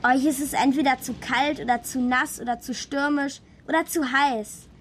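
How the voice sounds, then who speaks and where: A woman's voice: high voice, fairly even intonation, normal speed, fairly bright tone, slight background noise. A female performer playing a cheeky, precocious eight-year-old daughter in a children's radio play, pert and teasing. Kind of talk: radio drama dialogue. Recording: studio radio play with music underneath.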